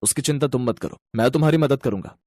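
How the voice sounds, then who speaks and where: A man's voice: medium pitch, fast, darkish tone, dry recording, natural voice. A man voicing a kind, devoted young bus driver in a moral story, sincere, polite and encouraging. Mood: sincere, reassuring.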